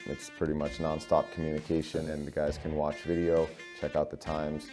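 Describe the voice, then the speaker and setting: A ski coach explains how his team works in a steady, explanatory tone. His voice is very deep with little pitch movement, and guitar music plays clearly behind him. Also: moderate pace. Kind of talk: documentary interview.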